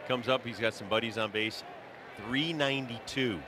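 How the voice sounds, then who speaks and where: A man's voice: medium pitch, normal speed, background noise. An American man giving conversational color commentary on a baseball broadcast.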